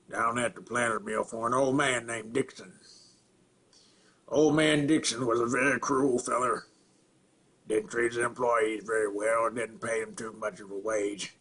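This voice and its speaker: A man acting a simple-minded rural Southern man in a halting, plainspoken dramatic film monologue, with a throaty rasp and grunts. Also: on the low side, sharp tone, full character voice. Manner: halting, repetitive, plainspoken, folksy.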